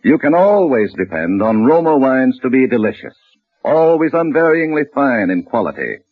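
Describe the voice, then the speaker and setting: A man's voice: mid-range voice, very animated, normal speed, dry recording. A man announcing a sponsor's message on 1940s radio with a polished sales delivery, on a tinny old recording. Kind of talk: 1940s radio advertising read.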